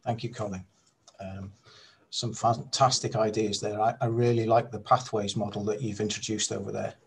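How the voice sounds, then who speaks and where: A man's voice: fairly low pitch, flat delivery, normal speed, vocal fry, slight background noise. A man chairing a conference over a video call from an office, hesitant and full of fillers. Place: indoor office.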